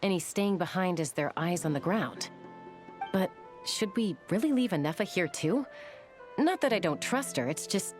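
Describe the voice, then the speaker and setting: A woman's voice: lowish voice, animated, normal speed, over music, natural voice. An actress playing a practical, protective woman leader in a video game, serious and cautious. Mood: concerned.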